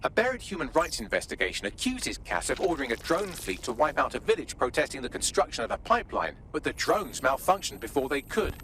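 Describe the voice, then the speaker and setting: A man playing a wry, sardonic intelligence briefer in a video game cutscene, articulate and sharp. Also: a little high, very animated, quick pace, hardly any pauses, a little hiss or hum, rough recording. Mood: brisk, informative.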